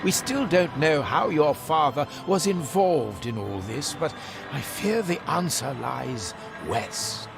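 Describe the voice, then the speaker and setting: An actor playing an earnest, refined gentleman official with a formal, expressive delivery in a video game. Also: on the high side, slow pace, creaky, music in the background, heavily acted. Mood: concerned. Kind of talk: dramatic video game dialogue.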